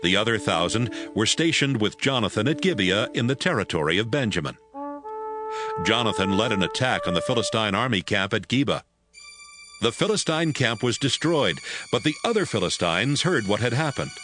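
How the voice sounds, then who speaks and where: A man's voice: on the low side, expressive, moderate pace. A man narrating scripture from a script, as the narrator of an audio drama. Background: music.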